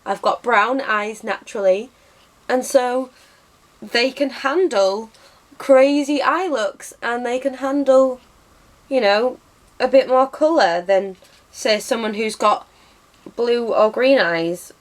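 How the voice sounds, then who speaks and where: A young woman, a little hoarse from losing her voice, chatting casually and self-mockingly through a makeup tutorial at her desk. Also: on the high side, takes it slowly. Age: young adult. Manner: chatty and self-mocking, lots of ums and restarts. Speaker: beauty vlogger. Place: bedroom with bookshelves, indoors.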